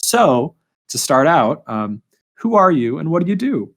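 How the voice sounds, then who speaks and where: A man hosting a casual interview podcast, speaking informally and haltingly, often repeating his words. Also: a little high, big pitch swings, fairly bright tone, soft background music. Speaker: podcast host.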